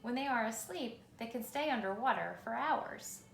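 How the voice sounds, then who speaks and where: A woman with a medium-pitched, noticeably creaky voice reads a children's story aloud. Her delivery is measured and expressive, with dramatic pauses. The room adds clear echo, and there is faint noise behind her. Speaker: reader. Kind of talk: children's picture book read aloud.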